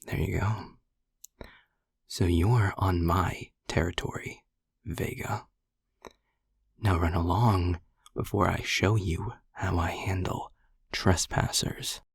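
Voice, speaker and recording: A man's voice: deep voice, fairly even intonation, slow pace, pauses often, no room sound, studio quality, heavily acted. A man voicing a flirty, protective male incubus in an audio roleplay, with a teasing, cocky manner. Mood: protective, threatening. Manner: teasing, seductive, breathy.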